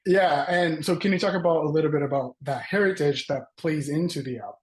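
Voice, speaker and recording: Higher-voiced Brazilian man interviewing. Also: fairly quick. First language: Portuguese. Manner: gushing, admiring. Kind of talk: casual celebrity interview.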